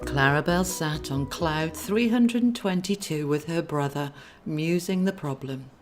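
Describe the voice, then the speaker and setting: A woman's voice: low voice, a little slow, faint music behind. A woman, an author narrating her own book. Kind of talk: audiobook narration.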